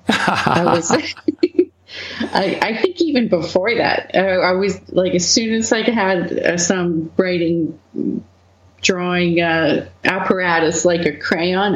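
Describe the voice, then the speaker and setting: A woman from Manitoba, Canada, a webcomic co-founder as the guest, talking through her past slowly with frequent laughs and fillers. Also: fairly low pitch, reverberant, rough recording. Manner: slow, laughing often, many fillers. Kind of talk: relaxed interview conversation.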